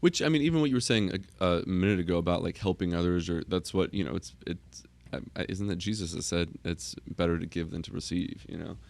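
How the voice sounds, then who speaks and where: A man in casual podcast conversation, earnest and reassuring, often halting as he thinks aloud. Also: low-pitched, gravelly, a little mellow.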